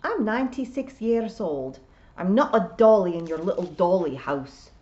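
A woman's voice: mid-range voice, lively intonation, moderate pace, darkish tone, lightly acted. A woman voicing a proud, prickly ninety-six-year-old male pixie piper who scolds emphatically in dialect, on a slightly dull recording. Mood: stern, offended.

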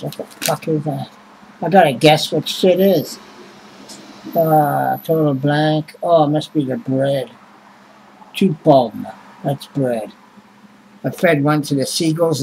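A man's voice: a little high, darkish tone, a little hiss or hum, low-quality recording. A man, rambling and swearing casually as he reads prices off a receipt into a webcam at home. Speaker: vlogger. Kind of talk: casual chat. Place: small home room indoors.

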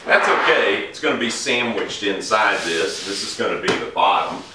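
A man's voice: on the low side, moderate pace, heavy background noise. Middle-aged man in an echoey workshop. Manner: relaxed, explains each step while working. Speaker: woodworking instructor. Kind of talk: casual demonstration while working. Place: large woodworking shop indoors. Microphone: camera mic from a distance. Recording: echoey workshop, distant mic.

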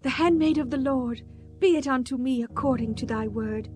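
A devout biblical woman played by an actress speaks in a slightly high voice. She is humble, reverent and earnest, as in a scripture audio drama, with faint music beneath. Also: moderate pace, a little mellow, natural voice. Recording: music behind the voice.

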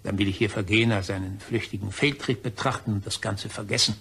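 Man playing husky, stern, self-righteous office colleague. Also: fairly low pitch, steady tone, fairly bright tone, full character voice. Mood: stern, insistent. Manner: formal, deliberate, insistent. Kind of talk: crime radio play acting.

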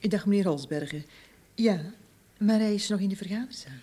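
An actress playing a brisk, formal female office secretary in an old radio drama. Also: medium pitch, dark tone, husky, close to an everyday voice.

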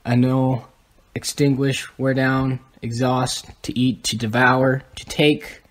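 A man's voice: mid-range voice, little pitch movement, slow pace. A young man co-hosting a podcast, explaining history in a casual, lecturing way with many ums. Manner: lecturing, lists items, frequent ums. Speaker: podcast co-host.

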